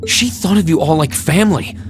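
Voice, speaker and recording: A man's voice: on the high side, a little fast, lightly acted. An actor playing a polite, loyal and resolute young male student in a video game, speaking sincerely. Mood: earnest, firm. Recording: music behind the voice.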